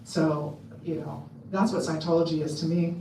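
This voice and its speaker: A woman with a loud voice giving a casual talk to a convention audience, chatty and full of ums and restarts. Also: sits low, flat delivery, moderate pace, slight background noise. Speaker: convention speaker. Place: convention hall. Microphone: handheld mic on a stand. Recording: echoey hall, mic at a distance.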